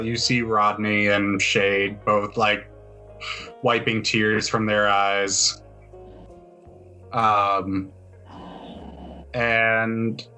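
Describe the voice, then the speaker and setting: Slow, forceful man running a tabletop game. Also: lowish voice, poor audio. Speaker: game master of a tabletop roleplaying podcast. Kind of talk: improvised narration and banter in a tabletop game.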